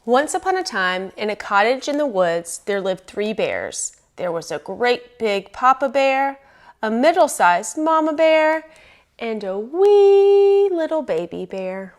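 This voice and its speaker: A woman reading a children's storybook aloud as storyteller, expressive and emphatic, lingering on phrases. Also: on the high side, slow.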